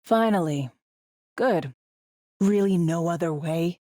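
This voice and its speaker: A video game secret agent, female, tough and jaded. The voice sits on the low side and is recorded dry and close. The delivery is blunt, slangy and sardonic, with a world-weary edge. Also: slow, mellow, dry recording. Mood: subdued, reflective. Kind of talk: video game character dialogue.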